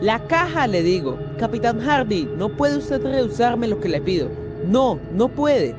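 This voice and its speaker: A man playing a frantic, desperate male passenger who pleads loudly and stubbornly in an amateur radio drama. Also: very high voice, very animated, unhurried, muffled recording, over music, voice pushed up, full character voice. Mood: frantic pleading. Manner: vociferous, imploring.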